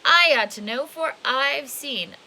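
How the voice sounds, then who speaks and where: A woman voicing a very old man, tender and admiring, with a gentle, exclaiming manner in a storybook. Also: sits high, animated, slow, voice pushed up, exaggerated voice. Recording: hissy home recording.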